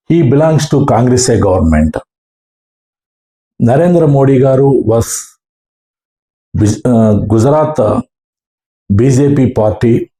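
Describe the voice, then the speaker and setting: A man with a South Indian accent recounting his experience as an amateur radio operator in halting, earnest short phrases. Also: medium pitch, dark tone. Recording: roomy, echoing room sound.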